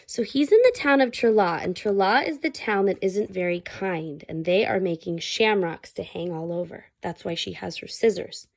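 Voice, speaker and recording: A woman reading a children's story aloud, pausing to explain things simply to her listeners. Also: mid-range voice, vocal fry. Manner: pauses to explain words and ask listeners questions.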